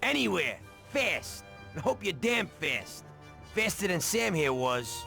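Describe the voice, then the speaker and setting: A man's voice: high-pitched, very animated, takes it slowly, gravelly, sharp tone, faint music behind, exaggerated voice. An actor playing a tough, terse mob henchman in a video game, with a commanding manner. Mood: urgent. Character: tough, hard-driving male mob henchman.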